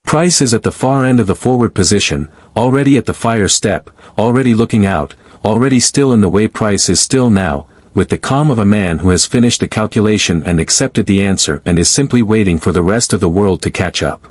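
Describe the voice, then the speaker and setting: A man narrating a war story in the second person, in a slow, grave, measured voice-over. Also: on the low side, expressive, darkish tone, dry recording.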